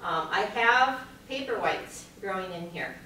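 A woman's voice: medium pitch, expressive, measured pace, sharp tone. Middle-aged woman, chatty gardening presenter, distant camera, very roomy hall, audience sounds. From Minnesota. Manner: chatty, practical, with fillers. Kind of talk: informal home decor demonstration. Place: large meeting room with an audience. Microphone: far camera at the back of the room. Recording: echoey room, far from mic, audience noise.

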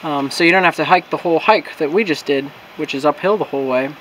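A man's voice: fairly high pitch, fast, fairly bright tone, slight background noise, rough recording. A man in his thirties chatting casually in a travel vlog, easygoing and rambling with fillers, filmed in a small camper and on a trail. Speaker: travel vlogger. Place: inside a small camper, then outdoors on a hill trail.

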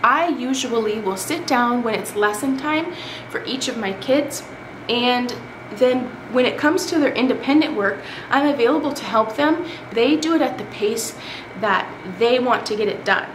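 A woman's voice: on the high side, normal speed. Woman giving tips in an echoey room. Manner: friendly, practical, gestures with her hands while talking. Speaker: homeschooling mother and vlogger. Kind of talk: casual tips to camera. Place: living room of a home, indoors. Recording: echoey room with background noise.

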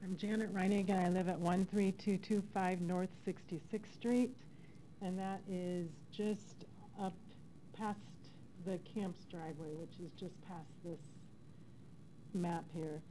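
A woman's voice: fairly low pitch, fairly even intonation, measured pace, warm tone, rough recording. A middle-aged woman, a resident giving informal public comment at a podium microphone in a council chamber. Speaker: resident speaking at a public hearing. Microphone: gooseneck podium microphone. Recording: faint background noise, public meeting room.

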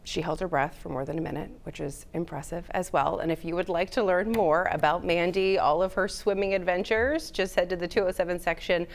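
A woman's voice: fairly low pitch, fairly even intonation, fairly quick, creaky, hardly any pauses. Upbeat woman anchor at a studio desk. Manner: upbeat, emphatic. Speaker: news anchor. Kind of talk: casual anchor chat.

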